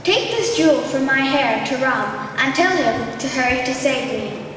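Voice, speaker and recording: A young female performer playing a gentle captive princess in a school pageant, with declaimed, deliberate delivery. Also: high voice, animated, noisy background. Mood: grateful, pleading. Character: Sita, gentle captive princess, wary then grateful. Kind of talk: school pageant stage recitation. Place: large indoor school gym. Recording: distant echoey large gym, music behind.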